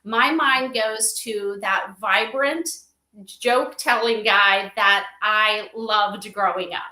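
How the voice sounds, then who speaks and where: A woman's voice: medium pitch, fairly even intonation, slow, sharp tone. A middle-aged woman talking earnestly and at length in a conversational interview, sharing personal anecdotes. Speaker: guest, spirit advisor for an AI memorial company. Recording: roomy, echoey livestream sound.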